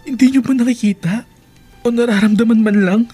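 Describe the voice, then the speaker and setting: A dutiful eldest son in a radio drama, earnest and full of hurt. The voice is husky and breathy, pleading deliberately, with music underneath. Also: male voice, very high-pitched, fairly even intonation, warm tone. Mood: anguished. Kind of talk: emotive radio drama acting.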